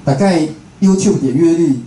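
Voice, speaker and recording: Mellow Taiwanese man speaking in an echoey room. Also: fairly high pitch, normal speed. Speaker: radio station director and program host giving a talk. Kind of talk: informal public lecture to an audience. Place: indoor talk venue with a live audience. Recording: echoey room, clear background noise.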